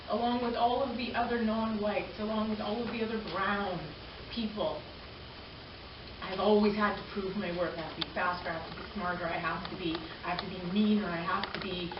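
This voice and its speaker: A woman's voice: medium pitch, steady tone, moderate pace. A woman in her forties who grew up in Canada, speaking earnestly and from the heart to a gathering, repeating phrases for emphasis. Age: 40s. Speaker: panel speaker. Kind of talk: personal talk to a gathering. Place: community arts event hall. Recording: echoey room, distant mic, dull sound, background noise.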